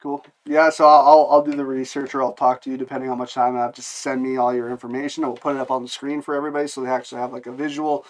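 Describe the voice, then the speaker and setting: A man's voice: mid-range voice, little pitch movement, very fast, hardly any pauses. Host, young man, mid voice, casual, friendly banter. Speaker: podcast host. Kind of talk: casual interview chat.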